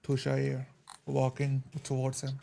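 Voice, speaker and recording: A man's voice: medium pitch, monotone, a little slow, creaky, mellow, slight background noise. A man playing a tabletop roleplay game, speaking in character and narrating his own actions.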